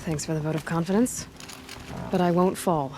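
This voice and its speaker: An actress playing a determined young huntress in a video game cutscene, blunt and dry. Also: female voice, fairly low pitch, fairly even intonation, moderate pace, background noise, close to an everyday voice. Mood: steady, blunt. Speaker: game protagonist. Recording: game audio with loud music.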